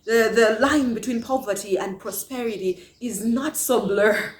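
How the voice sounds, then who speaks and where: A woman's voice: fairly high pitch, unhurried. A woman from Malawi giving a passionate motivational talk to camera, asking many rhetorical questions. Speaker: vlogger. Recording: echoey room.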